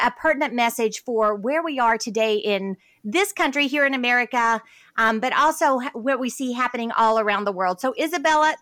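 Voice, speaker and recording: A woman's voice: fairly high pitch, fairly bright tone, no room sound, clean studio sound. A woman in her forties hosting a faith podcast, earnest and enthusiastic, with fillers and restarts as she talks. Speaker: podcast host. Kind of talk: conversational interview and sponsor read.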